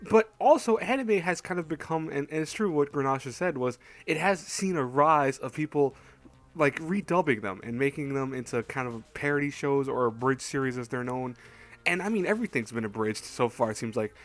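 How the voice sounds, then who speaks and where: A man talks through his opinions as a YouTube host in a casual, unscripted way. His voice sits at an average pitch, and he often hedges, stalls and rephrases as he goes. The recording is dry, with very little room sound. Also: dry recording. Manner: hedging, halting, self-correcting.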